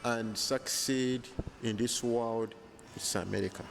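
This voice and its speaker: A man's voice: medium pitch, steady tone, gravelly. Ugandan man, 30s, earnest interview guest, mid-range voice, handheld mic indoors. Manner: earnest, measured, frequent restarts. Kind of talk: casual interview. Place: bright hotel lounge indoors. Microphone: handheld lapel mic.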